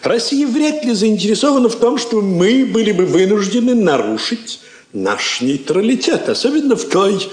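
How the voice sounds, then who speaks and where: An actor playing a pompous, formal diplomat with a ceremonious, officious delivery, in stage-style radio drama. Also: male voice, high-pitched, very animated, normal speed. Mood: indignant, threatening. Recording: echoey old studio drama recording.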